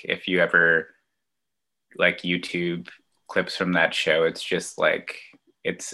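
A man from Ontario, a children's musician, answering interview questions in a relaxed, hesitant way with many fillers and restarts. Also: low voice. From Ontario, Canada. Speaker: children's musician and outdoor educator.

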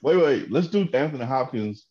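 A man's voice: medium pitch, animated, normal speed, mellow, dull audio. Blunt, teasing man. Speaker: podcast co-host. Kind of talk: casual comedic chat.

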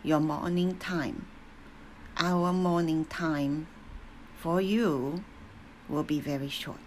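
Stern, shrill elderly matriarch, scolding and slow-spoken, put on by a woman reader. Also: steady tone, darkish tone, slight background noise. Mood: grave.

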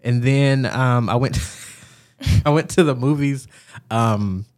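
A man's voice: mid-range voice, slow, darkish tone. A young man from Georgia with a Southern accent, chatting casually as a podcast co-host. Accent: Southern American. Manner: chatty storyteller, frequent likes and ums. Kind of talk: casual chat.

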